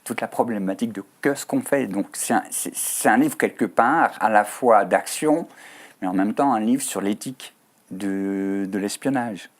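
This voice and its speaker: Low-voiced Swiss French man, hesitant. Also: a little slow. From Switzerland. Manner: thoughtful, hesitant with frequent fillers. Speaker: author guest. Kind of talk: TV studio interview.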